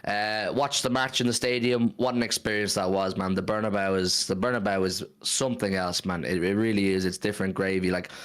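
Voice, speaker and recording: A man with a slightly hoarse, worn voice, chatting casually and animatedly as host of a football fan livestream, repeating phrases for emphasis. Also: sits low, fairly even intonation, brisk, few pauses. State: hoarse from singing and drinking.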